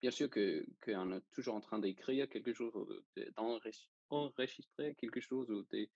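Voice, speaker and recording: A young man with a Danish accent, speaking casually and haltingly as he searches for words. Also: mid-range voice, darkish tone, no room sound, muffled recording. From Denmark. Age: young adult. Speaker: interview guest, journalism student. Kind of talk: casual interview.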